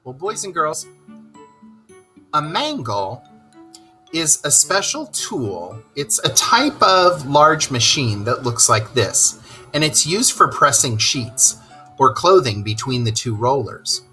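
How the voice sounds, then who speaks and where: Slow, animated male children's storyteller. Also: mid-range voice, soft background music. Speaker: storyteller reading aloud to children. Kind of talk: expressive children's story narration.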